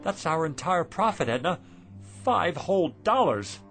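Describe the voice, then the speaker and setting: Excitable, hot-tempered shopkeeper husband. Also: male voice, on the high side, measured pace, soft background music, exaggerated voice. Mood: dismayed. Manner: emphatic, volatile. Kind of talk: radio drama acting.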